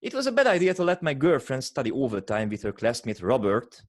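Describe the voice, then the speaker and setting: A man with a Hungarian accent who chats haltingly in English, searching for words, then performs his own poem as a slam poet. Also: mid-range voice, huge pitch swings. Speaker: poetry slammer. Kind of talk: casual chat and poetry performance.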